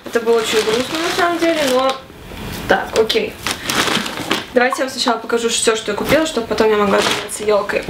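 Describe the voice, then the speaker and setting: A young woman in her twenties vlogging casually to camera in her small bedroom, chatty and animated. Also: on the high side, quick pace, roomy sound, very noisy. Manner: chatty and animated, gesturing a lot. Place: small bedroom with fairy lights.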